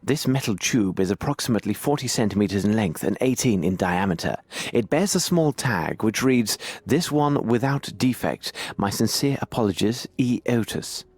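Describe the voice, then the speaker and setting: An actor playing a shrewd, self-assured Victorian detective with a British accent in an adventure game, speaking precisely and formally as if musing aloud. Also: male voice, lowish voice, animated, normal speed, a little mellow, no echo. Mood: musing, analytical. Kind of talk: scripted adventure game dialogue.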